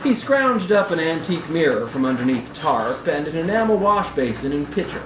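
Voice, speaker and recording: A man's voice: on the high side, big pitch swings, a little fast. A man reading a short story aloud expressively at a live event, indoors in a bar. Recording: roomy, noisy live bar recording.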